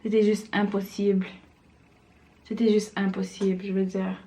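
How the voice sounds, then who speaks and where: A young woman talks loosely and casually to her camera while putting on makeup. Her chat is relaxed and rambling, sprinkled with fillers, in a middle-pitched voice. She sounds like she is in a room at home. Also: normal speed. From Senegal. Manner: chatty, loose, full of fillers like "genre". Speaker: vlogger.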